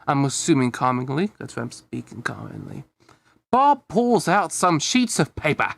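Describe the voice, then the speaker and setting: A man's voice: on the high side, wildly swooping pitch, moderate pace, gravelly, a bit bright. A man reading a fan fiction story aloud casually, often breaking off to comment on it with a chuckle. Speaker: amateur fan fiction reader.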